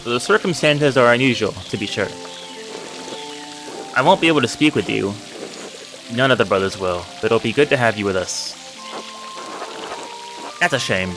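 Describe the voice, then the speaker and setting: Man reading as a gentle, fatherly old monk. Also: mid-range voice, lively intonation, normal speed, pauses often, natural voice. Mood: friendly. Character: kindly old monk mentor, humble and fatherly. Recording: music under the voice.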